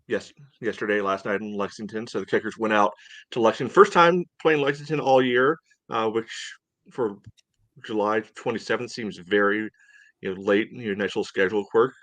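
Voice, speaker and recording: A man's voice: on the low side, fairly quick, fairly bright tone, dry recording. An American man co-hosting a sports podcast, chatting casually in a rambling, conversational way.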